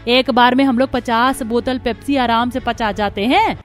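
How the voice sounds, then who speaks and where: A woman's voice: on the high side, steady tone, moderate pace, faint music behind, close to an everyday voice. Woman as scolding older mother-in-law. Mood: angry. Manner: nagging, idiom-heavy scolding.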